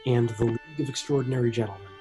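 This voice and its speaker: A man's voice: medium pitch, racing, dark tone, slightly rough, soft background music. A man co-hosting a movie podcast in casual chat, dry and teasing. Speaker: podcast co-host.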